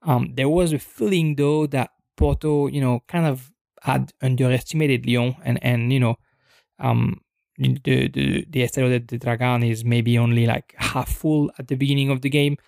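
A man's voice: medium pitch, steady tone, moderate pace, gravelly, darkish tone, no room sound, clean studio sound. A young man talking through football news on a podcast, hesitant and thoughtful, with many ums and restarts. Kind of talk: unscripted football commentary podcast.

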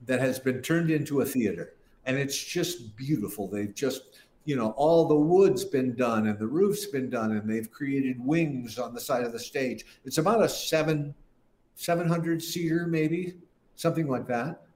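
Older man, interview guest, rambling anecdotes, restarts sentences, mid-pitched voice, roomy echo. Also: measured pace, darkish tone, a little hiss or hum. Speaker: guest musician. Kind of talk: casual interview storytelling.

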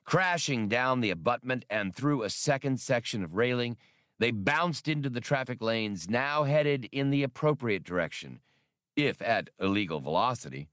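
A man's voice: medium pitch, expressive, normal speed, a bit bright, no echo, studio quality. A man reading an adventure novel as scripted, dramatic audiobook narration.

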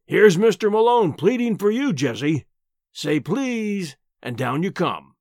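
A reader voicing an overbearing, mocking professor husband with a rumbling, brusque manner in an audiobook. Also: big pitch swings, measured pace, no room sound, studio quality. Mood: brusque, commanding.

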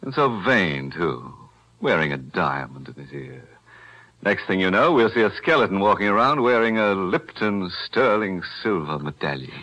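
A man's voice: deep voice, sing-song, normal speed, bright, forceful tone, exaggerated voice, poor audio. A man playing a wisecracking host with ghoulish, sardonic humor on a 1940s radio show.

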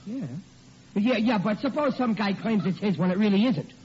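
An actor playing a flustered, blustery, stammering working-class husband in a vintage radio sitcom. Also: male voice, sits high, moderate pace, a little mellow, close to an everyday voice. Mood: blustering, defensive. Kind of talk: 1940s radio sitcom acting. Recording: muffled, noisy old radio recording.

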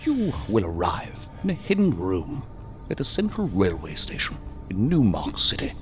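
An actor playing a condescending, ornately courteous ambassador in a science fiction audio drama, with deliberate, mocking phrasing. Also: male voice, fairly low pitch, wildly swooping pitch, faint music behind. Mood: commanding, formal. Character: theatrical, silky, condescending ambassador of a secret power.

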